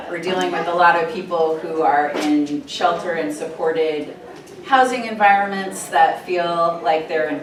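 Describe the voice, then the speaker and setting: A woman giving a conference presentation, projecting her voice in an earnest, engaging way. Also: on the low side. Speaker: conference presenter, program manager. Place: conference hall. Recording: echoey hall, distant mic, room noise.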